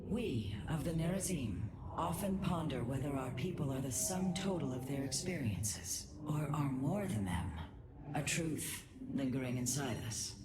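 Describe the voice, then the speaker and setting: An actress playing a wise, composed female alien advisor in a video game, with measured, formal, thoughtful delivery. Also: deep voice, animated. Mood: reflective. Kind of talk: dramatic video game acting. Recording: loud ambient game noise behind.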